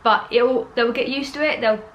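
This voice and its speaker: A woman's voice: fairly high pitch, steady tone, moderate pace, dull audio, slight background noise. A young woman with a slightly sore throat, giving earnest, chatty parenting advice in a casual vlog and often repeating herself. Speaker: parenting vlogger.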